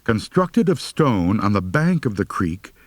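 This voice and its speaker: A man's voice: lowish voice, sing-song, a little slow, no echo. A man narrating a humorous first-person story as an audiobook reader, with a wry, mock-serious delivery.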